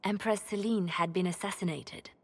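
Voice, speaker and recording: A woman playing a grave, secretive spymaster advisor in a video game, speaking in a measured, solemn way. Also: medium pitch, steady tone, close to an everyday voice. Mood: grave, foreboding. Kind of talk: video game cutscene acting.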